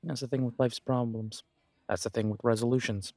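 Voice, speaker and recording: A man's voice: medium pitch, fairly even intonation, darkish tone, no room sound, natural voice. A man playing a supportive, self-deprecating best friend in a conversational roleplay audio, talking in a reassuring, reflective way. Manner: reassuring, chatty, reflective pauses. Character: warm, encouraging male best friend, self-deprecating, gently earnest.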